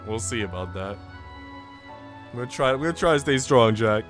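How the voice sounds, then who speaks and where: A man's voice: medium pitch, expressive, unhurried, a bit bright, soft background music. A man live streaming, reading a visual novel aloud and dropping into casual, slangy asides to his chat. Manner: informal, slangy, chatty.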